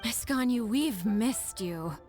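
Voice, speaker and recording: Weary female office worker, high slow voice, adoring, reverent, game dialogue, music behind. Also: expressive. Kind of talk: anime game voice acting.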